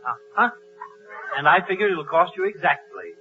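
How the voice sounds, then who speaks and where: Male actor as obliging little bug killer, 1940s radio comedy: high pitch, clipped replies, dull noisy broadcast. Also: expressive, moderate pace, natural voice. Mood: deadpan.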